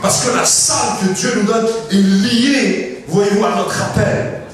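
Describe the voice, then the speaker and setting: Francophone male preacher, high-pitched and emphatic, in a very reverberant hall and heard from a distance. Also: measured pace, a crowd in the background. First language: French. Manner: emphatic preaching with call-and-response and frequent hallelujahs; lively pitch swings, drops to quiet asides and jokes. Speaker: pastor. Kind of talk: Pentecostal sermon. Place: large church hall. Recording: very echoey hall, mic at a distance.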